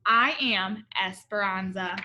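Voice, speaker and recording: A woman voicing an eager, curious little girl in a storybook, earnest and expressive. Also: medium pitch, slow, lo-fi sound, slight background noise, voice pushed down. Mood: eager, proud. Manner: earnest, expressive, addressing her father.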